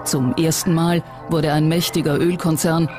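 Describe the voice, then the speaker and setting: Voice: mid-range voice, little pitch movement, moderate pace. Documentary narrator over music. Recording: music bed under the voice.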